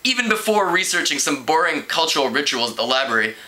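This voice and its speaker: A man reads a story aloud as an amateur narrator. His voice is strikingly bright and forceful and sits a little higher than most men's. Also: sing-song, fast talker. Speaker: amateur book reader.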